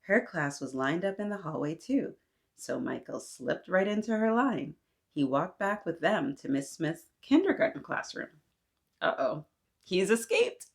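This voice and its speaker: Female storytime host, slightly low voice, expressive storytelling with chatty asides for young children. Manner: animated asides to young listeners.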